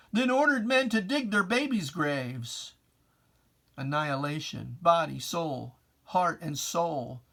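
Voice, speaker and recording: A man's voice: on the high side, pushed and bright. A man giving a dramatic reading of religious verse in an emphatic, declamatory, theatrical manner. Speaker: poetry reader.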